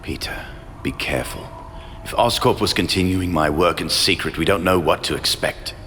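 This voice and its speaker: A middle-aged man acting a remorseful, cautious scientist in a video game, grave and measured, with a gravelly voice. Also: pushed and bright, in a large hall, slight background noise. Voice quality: deep, gravelly.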